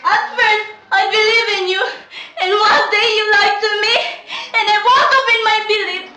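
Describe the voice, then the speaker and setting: A woman's voice: very high-pitched, fairly even intonation, moderate pace, pushed and bright, far from the mic, slight background noise. An actress playing a distraught young woman sliding into madness, with a theatrical, declamatory delivery in an echoey room. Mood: frantic. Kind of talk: melodramatic stage acting.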